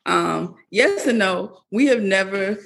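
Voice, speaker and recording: A woman's voice: medium pitch, animated, slow pace, creaky. A woman guest talking casually on a video call, chatty and laughing often with many fillers. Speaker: guest, camper.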